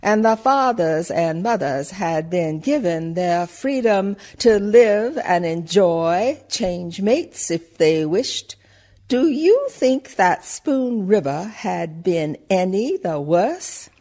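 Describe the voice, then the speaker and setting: A woman's voice: lowish voice, animated, slow pace, mellow. A woman playing a shrewd, outspoken small-town milliner who addresses the listener pointedly in a radio monologue. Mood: challenging. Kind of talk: theatrical monologue for community radio play.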